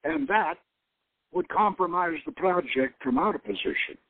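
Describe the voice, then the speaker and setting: A man playing a calculating, authoritative intelligence director in a dramatic novel reading, explaining things in a measured way. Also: fairly high pitch, lively intonation, no echo, narrow, tinny audio, pitched above the performer's own voice. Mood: persuasive.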